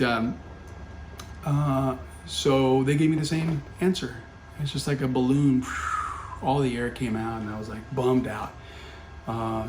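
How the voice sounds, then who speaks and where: A middle-aged man telling a personal story in casual talk, full of fillers and self-corrections. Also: medium pitch. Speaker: podcast guest, company founder. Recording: roomy echo with faint background hum.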